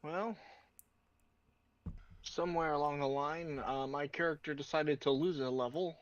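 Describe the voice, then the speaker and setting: A man's voice: fairly high pitch, steady tone, a little slow, dry recording, highs cut off. A man talking casually as a player in a tabletop game, hesitant and feeling his way through his turn.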